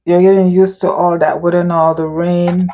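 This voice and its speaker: A woman interviewer asking questions slowly and hesitantly, with many fillers. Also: fairly low pitch, level tone, dull audio, heavy background noise.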